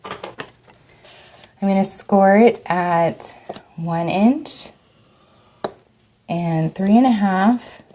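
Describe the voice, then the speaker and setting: A woman with a cold and a slightly raspy voice, chatting through a live step-by-step craft demo, her voice dropping off as she leans away from the mic to work. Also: medium pitch, extremely slow, long pauses, noisy background. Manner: chatty, step-by-step instructions with fillers. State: hoarse from a cold. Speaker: craft host.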